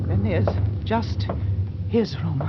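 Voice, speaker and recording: A woman's voice: medium pitch, animated, slow, very noisy. An actress playing a frantic, terrified landlady in a breathless, urgent old-time radio suspense drama. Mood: anxious searching. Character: frantic landlady wife terrified for missing Daisy. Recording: muffled old radio drama, loud music.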